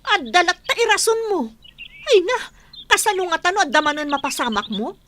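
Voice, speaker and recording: A woman's voice: extremely high pitch, big pitch swings, fairly quick, sharp tone, a little hiss or hum, full character voice. A woman in a radio comedy drama playing a worried, exasperated wife who scolds her drinking husband. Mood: worried nagging.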